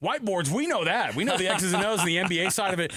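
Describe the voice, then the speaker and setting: A man co-hosting a sports talk show, chatting casually in a rambling, excitable way and acting out quotes. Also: high-pitched, very fast, no room sound, clean studio sound.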